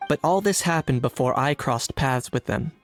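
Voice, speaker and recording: A man voicing a gravelly, inquisitive sleuth. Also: mid-range voice, moderate pace, faint music behind, lightly acted. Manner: thoughtful, inquisitive inner monologue. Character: shrewd young man investigating smugglers. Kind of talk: game narration and monologue.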